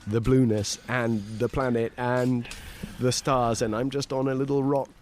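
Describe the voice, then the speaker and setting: A middle-aged man from Portugal chats as a podcast guest in a loose, rambling way. He laughs often and wanders between thoughts. His voice sits at an ordinary pitch for a man. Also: level tone, measured pace, a little mellow, a little hiss or hum. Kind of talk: casual conversation.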